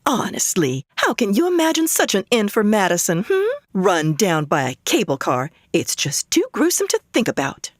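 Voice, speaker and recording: Lilting vintage radio pitchwoman. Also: big pitch swings, normal speed, hardly any pauses, no echo, clean studio sound. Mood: prim concern. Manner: genteel, mock-indignant, salesy. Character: prim, chatty female tea pitchwoman on vintage radio. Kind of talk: old-time radio acting.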